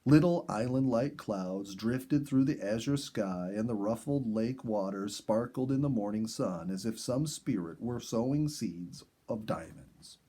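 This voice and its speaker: A man reading a story aloud in an expressive, measured storybook narration style. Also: lowish voice, husky. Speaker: audiobook reader and host.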